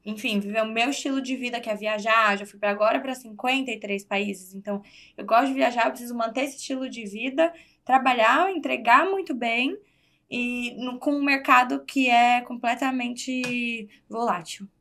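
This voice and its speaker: A woman's voice: fairly high pitch, moderate pace, a bit bright. A woman from Brazil, a guest in a casual interview, talking candidly and confidently with plenty of fillers. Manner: candid, self-assured, chatty with fillers. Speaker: guest, entrepreneur.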